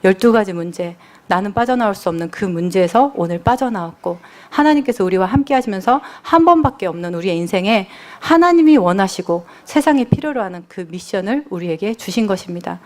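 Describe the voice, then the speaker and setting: Woman preacher, earnest sermon, emphatic repeated lists, church service, mid-pitched voice. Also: lively intonation, brisk, hardly any pauses, a little mellow. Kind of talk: Friday service sermon with personal testimony.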